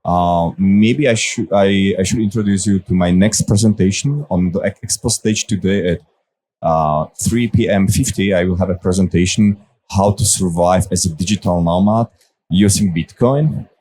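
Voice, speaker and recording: Low mellow male voice, soft and a bit stumbling. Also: normal speed, a little hiss or hum. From Slovakia. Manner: soft-spoken, repeats words. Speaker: panelist, company founder. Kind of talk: unscripted panel talk. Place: conference stage.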